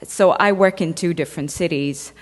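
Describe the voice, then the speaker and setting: A woman's voice: fairly low pitch, normal speed. A woman from Egypt, a dentist giving a conference talk, speaking conversationally with fillers and playful asides. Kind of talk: conference presentation. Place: large conference hall. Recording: reverberant lecture hall.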